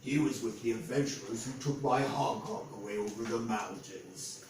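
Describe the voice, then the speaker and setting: A man's voice: lowish voice, moderate pace, close to an everyday voice. A man voicing a gloomy, cautioning hill troll as he reads a picture book aloud. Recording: echoey room, distant mic, faint noise.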